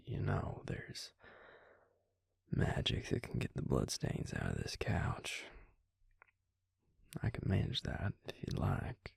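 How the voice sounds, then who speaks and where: A man playing a gentle, courteous Southern vampire in an intimate audio roleplay, with a slow, soothing drawl and Southern US accent. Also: wildly swooping pitch, dry recording, studio quality, full character voice. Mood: gentle, reassuring. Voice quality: low, gravelly, breathy near-whisper. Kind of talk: intimate audio roleplay acting addressed to the listener.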